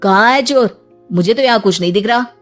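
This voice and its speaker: A woman's voice: on the high side, a little fast, soft background music, natural voice. A woman voicing a poor, anxious father in a cartoon story, speaking in a deferential, pleading way. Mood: flustered, pleading.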